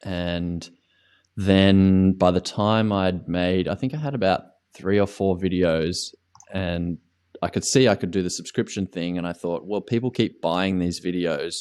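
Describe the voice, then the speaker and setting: A man's voice: deep voice, a little slow, a little mellow, clear, resonant tone. An Australian man, an online ski coach, answering interview questions in a casual, rambling way with many restarts and fillers.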